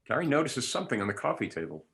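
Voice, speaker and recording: A man's voice: mid-range voice, sing-song, racing, gravelly, a bit bright, slight background noise. A man narrating stage directions at a table read.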